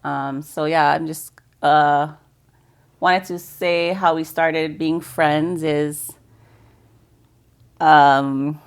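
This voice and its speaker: Deep, gravelly-voiced woman host. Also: fairly even intonation, drawn-out words, pauses often. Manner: chatty, supportive, finishes the guest's sentences. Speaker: podcast host. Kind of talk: casual conversational interview.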